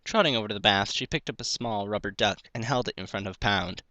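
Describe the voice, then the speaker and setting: A man's voice: lowish voice, fast talker, bright, forceful tone, no room sound. A man narrating a piece of fan fiction, reading it aloud as a story.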